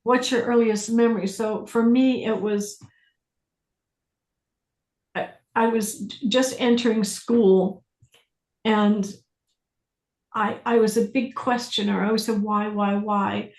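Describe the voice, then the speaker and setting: A woman facilitating a self-inquiry class, speaking deliberately with pauses, questions and phrases repeated for emphasis. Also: fairly high pitch, fairly even intonation, darkish tone. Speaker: workshop facilitator leading a group class. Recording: roomy echo on the voice.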